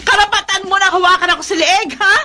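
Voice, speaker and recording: A woman's voice: very high-pitched, fairly even intonation, fast, pushed and bright, exaggerated voice. A woman playing a defiant young mother who bickers in a whiny, combative way in a Filipino radio drama. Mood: angry, pained. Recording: noisy radio drama audio.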